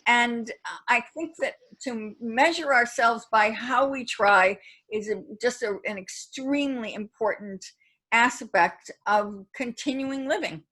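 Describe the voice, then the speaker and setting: A woman's voice: fairly high pitch, bright, forceful tone. A woman poet hosting an online reading panel over a video call, speaking earnestly and reflectively with frequent restarts. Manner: earnest, halting with many restarts. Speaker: poet and event host.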